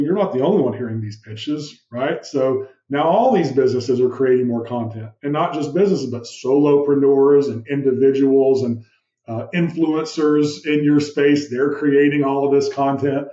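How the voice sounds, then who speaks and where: Commanding man with a muffled, roomy sound. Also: medium pitch. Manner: animated, uses vivid room analogies and rhetorical questions; describes his own voice as big and commanding. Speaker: podcast guest, business founder. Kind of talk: explanatory interview talk.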